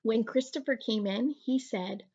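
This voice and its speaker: A woman's voice: fairly high pitch, moderate pace, a little mellow, highs cut off, a little hiss or hum. A woman teacher reading a children's picture book aloud, expressively, playing with the sound-effect words.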